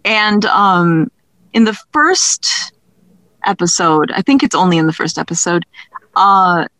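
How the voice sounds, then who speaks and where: A woman speaking as an interview guest in casual conversation, thinking aloud in a halting way. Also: fairly low pitch, a bit bright, dry recording, poor audio. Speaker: guest, audio drama creator.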